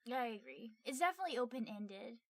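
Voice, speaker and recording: Female co-host, slightly high voice, casual and agreeable, brief conversational interjections. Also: expressive, fast, no echo, clean studio sound. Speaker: podcast co-host. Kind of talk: casual chat.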